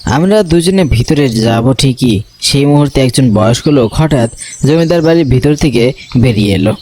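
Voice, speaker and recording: Man as nervous young horror storyteller, medium pitch. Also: lively intonation, normal speed, a little mellow, slight background noise, close to an everyday voice. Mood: uneasy, suspenseful. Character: nervous, curious young male photographer telling his ghost story. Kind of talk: first-person horror cartoon narration.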